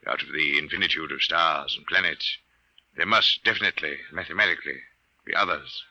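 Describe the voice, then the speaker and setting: A man's voice: rumbling low, a little fast, sharp tone, highs cut off, exaggerated voice. An actor in a 1950s radio drama playing a formal, precise, deadly serious professorial man. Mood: intense, earnest. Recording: vintage 1950s radio recording.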